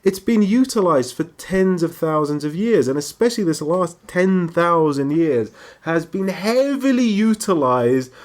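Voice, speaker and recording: A man's voice: a little high, measured pace, few pauses. A man with an English accent, a guest on a podcast, chatting casually and joking as he explains. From Britain. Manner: lively joking storyteller, laughs often. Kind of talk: casual chat.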